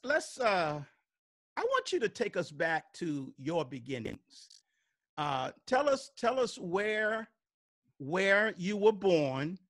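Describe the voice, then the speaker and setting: A man's voice: high-pitched, a bit bright, dry recording, clean studio sound. A male podcast host introducing a guest and asking questions in a welcoming way, with frequent fillers. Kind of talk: interview conversation.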